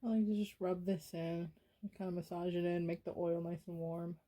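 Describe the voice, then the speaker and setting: A soft-spoken woman doing an ASMR self-care roleplay, gently guiding the listener in a soothing manner. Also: lowish voice, little pitch movement, fairly quick. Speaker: ASMR creator, host.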